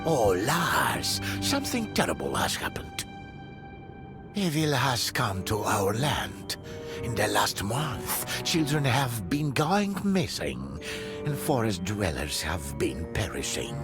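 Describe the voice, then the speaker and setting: A solemn old house spirit from a fairy-tale game, speaks very low. His delivery is mysterious and theatrical, with big swings in pitch, over clear background music. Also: big pitch swings. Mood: grave, foreboding. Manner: slow, mysterious, dramatic storyteller delivery. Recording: music behind the voice.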